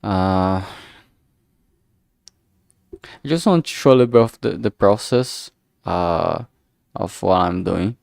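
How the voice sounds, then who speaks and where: A man's voice: low-pitched, very animated, takes it slowly, vocal fry, pauses often, darkish tone, dry recording, studio quality. A man chatting casually on a livestream, thinking aloud in a hesitant, musing way and often trailing off mid-thought. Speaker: streamer making a visual novel.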